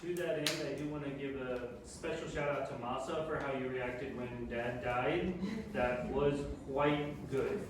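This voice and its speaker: Male audience commenter, medium pitch, conversational praise, strong room echo and noise. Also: steady tone, a little slow. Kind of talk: casual compliments to student performers. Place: roomy indoor school space, recorded from far off. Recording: echoey room, distant mic, background noise.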